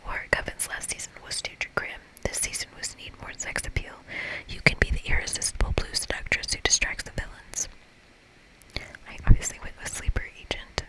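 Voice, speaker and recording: The voice is a constant breathy whisper with no real voiced tone. It belongs to an ASMR creator reading through a game aloud, adding playful asides along the way. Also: brisk, pushed and bright, low-quality recording. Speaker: ASMR creator playing a visual novel.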